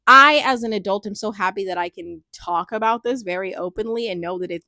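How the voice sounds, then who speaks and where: A woman's voice: medium pitch, a little fast, dry recording. Candid young woman, dry close sound. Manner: candid, thoughtful, many "like" fillers with soft laughs. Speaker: personal storyteller. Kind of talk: reflective personal monologue.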